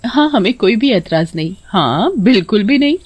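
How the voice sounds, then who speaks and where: A woman voicing sweet young sisters from the forest in a moral story, polite, gentle and earnest. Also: mid-range voice, animated, moderate pace, husky.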